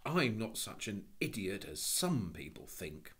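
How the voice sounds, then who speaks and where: A man's voice: high voice, normal speed, voice pushed up. A man voicing a spirited, indignant schoolboy in a storybook, emphatic and full of schoolboy slang. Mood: bitter, exasperated. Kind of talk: storybook reading.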